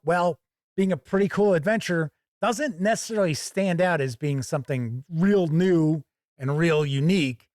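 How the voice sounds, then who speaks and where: Higher-pitched man, conversational reviewer. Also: no echo, clean studio sound. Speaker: host of a tabletop game review channel. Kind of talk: conversational video product review.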